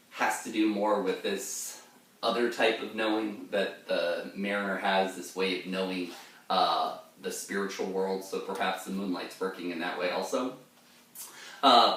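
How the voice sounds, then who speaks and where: A man's voice: lowish voice, a bit bright. A man giving an informal, rambling line-by-line explanation of a poem in a casual way. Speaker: reader and commentator of poetry. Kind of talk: informal line-by-line poem commentary with read-aloud verse. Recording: very echoey room, far from mic.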